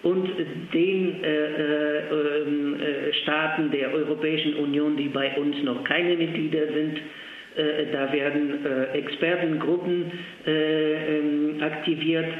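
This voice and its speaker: Man, halting and full of uhs, tinny phone line, heavy echo. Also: on the high side, level tone. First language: Polish. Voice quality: halting, many filled pauses. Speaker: interview guest, language centre official. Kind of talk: phone interview. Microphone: telephone.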